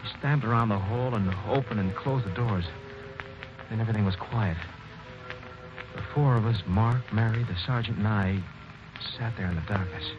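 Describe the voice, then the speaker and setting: A man voicing an anxious, stammering accused man who also narrates the story, in a somewhat low voice. The sound is dull old 1940s radio with music playing behind him. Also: normal speed, close to an everyday voice. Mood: tense narration. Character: shaken young man accused of murder, narrator.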